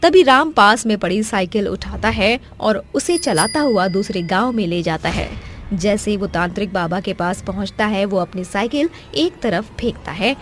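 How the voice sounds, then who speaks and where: A woman's voice: medium pitch, expressive, moderate pace, slight background noise. A woman reading scripted narration for a cartoon horror story.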